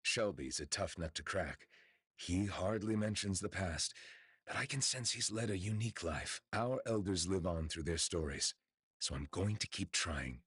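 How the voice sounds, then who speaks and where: An actor voicing a laid-back young husband villager in a video game, thoughtful and wry. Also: male voice, low voice, normal speed, a bit bright, breathy edge, no echo, studio quality, heavily acted. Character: easygoing young adult husband villager, warm. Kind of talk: video game character dialogue.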